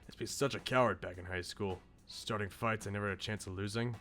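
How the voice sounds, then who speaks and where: A man's voice: fairly low pitch, steady tone, fast, fairly bright tone, faint music behind. A man voicing a brash, insecure but loyal eighteen-year-old slacker in a visual novel, with blunt, casual slang. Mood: reflective. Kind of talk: visual novel character read aloud.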